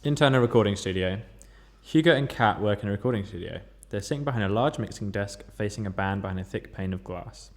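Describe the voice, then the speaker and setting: Male stage-direction reader, lowish pitch, rapid scripted narration. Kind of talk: scripted narration, table read.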